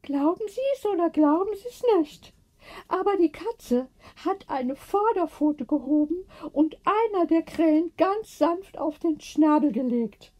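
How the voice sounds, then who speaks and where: Woman acting excitable older lady, high voice, emphatic, earnest, audiobook story character. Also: measured pace. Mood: moved, amazed.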